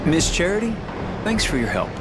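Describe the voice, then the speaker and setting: Young idealist: highish, lively pitch, earnest and polite, game dialogue. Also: male voice, moderate pace, very noisy. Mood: eager. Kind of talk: video game cutscene acting. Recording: loud music behind dialogue.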